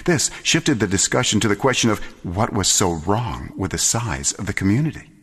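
A man narrating a story for a radio drama in a measured, theatrical way. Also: lowish voice, big pitch swings, a bit bright, husky, long reverb tail.

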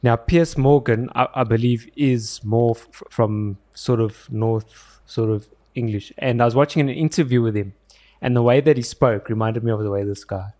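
A young man co-hosting a film podcast, talking things through in a halting way as he searches for words. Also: medium pitch, a little mellow. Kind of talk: casual film review chat.

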